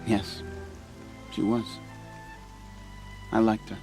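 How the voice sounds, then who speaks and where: Gentle, earnest young tribesman over music. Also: on the low side, level tone, very slow. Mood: informative, regretful. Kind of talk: video game cutscene acting.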